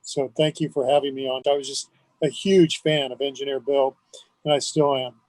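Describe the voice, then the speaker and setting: A man's voice: mid-range voice, steady tone, normal speed, darkish tone, no room sound, rough recording. A man speaking conversationally as a guest, reminiscing. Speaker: interview guest.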